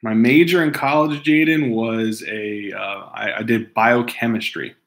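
A man's voice: mid-range voice, fairly even intonation, a little slow, fairly bright tone. A man in his thirties from Hawaii, reading viewer mail from home to camera in a casual, easily distracted way, laughing often and restarting sentences. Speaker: host reading fan mail. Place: room at home. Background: dogs barking at times.

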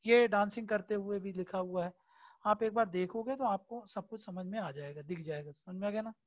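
A man teaching an exam-prep lesson over a screen recording, explaining slowly with many hesitation fillers and constant checks that his students understand. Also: sits high, highs cut off. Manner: keeps checking understanding with tag questions.

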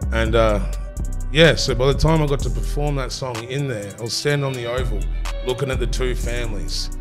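A man in his thirties with an Australian accent, a rapper guest telling stories in relaxed, casual podcast talk. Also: medium pitch, fairly quick, music in the background. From Tasmania. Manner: laid-back storytelling, peppered with "bro".

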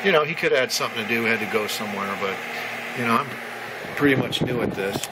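Man thinking aloud over loud radio noise. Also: medium pitch, fast talker. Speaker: amateur radio hobbyist. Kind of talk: casual hobby vlog, narrating as he goes. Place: small indoor home radio room. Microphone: handheld camera or phone mic. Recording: very noisy handheld camera, radio noise behind.

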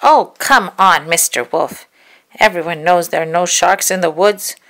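A bright, cheerful little girl from a storybook, polite and quick to set things straight, as a woman reads her. The pitch jumps up high above the reader's own low voice, with a hint of gravel. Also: animated, exaggerated voice. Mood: chiding. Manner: cheerful, chirpy.

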